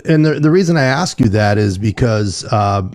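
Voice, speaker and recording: A man with a mid-pitched voice hosts a talk show. He speaks in a casual interview style, building his questions in a wordy, conversational way. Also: lively intonation, moderate pace, darkish tone.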